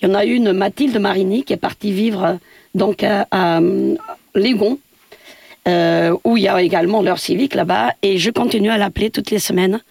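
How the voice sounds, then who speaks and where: A woman's voice: medium pitch, steady tone, moderate pace, creaky, a little mellow, no room sound. A woman from Portugal, a building caretaker speaking as a radio interview guest, hesitant with many fillers and restarts.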